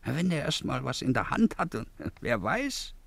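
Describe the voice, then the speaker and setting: A needling companion who pretends to soothe, in a 1950s radio drama. His voice has a gravelly creak and swings widely in pitch. He talks colloquially and repeats himself, recorded very dry. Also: very animated, a little slow, a bit bright, dry recording, full character voice. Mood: needling, mocking. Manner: colloquial, drawling interjections, repeats words. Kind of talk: 1950s German radio play acting.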